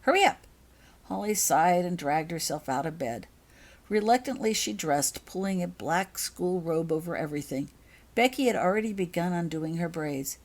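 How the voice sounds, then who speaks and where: A woman with a fairly low voice narrates a story. She is an author reading scripted prose in audiobook style. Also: lively intonation, moderate pace, a little mellow.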